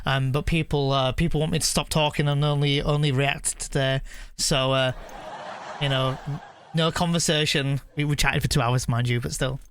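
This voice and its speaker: A man live streaming a video game, chatting casually and reading the on-screen text aloud in a halting way, often correcting himself. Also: fairly high pitch, fairly even intonation, a bit bright, clean tone, no room sound.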